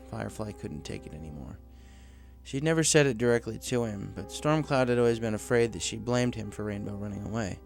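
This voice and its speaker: A man's voice: fairly low pitch, vocal fry, a little mellow. A man reading scripted fiction aloud as a story narrator.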